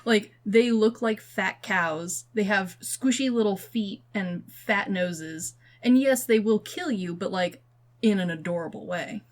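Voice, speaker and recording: A young woman co-hosting a podcast in casual, slangy chat, with an animated, joking and exaggerating way of talking. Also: mid-range voice, measured pace. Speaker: podcast co-host.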